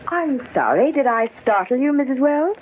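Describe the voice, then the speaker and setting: A two-faced private nurse in a vintage radio thriller, outwardly polite yet defensive. Her voice is fairly high and very animated. The narrow recording has some background noise. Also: normal speed. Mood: politely deferential. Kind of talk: old-time radio thriller acting. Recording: noisy, narrow-band old radio recording.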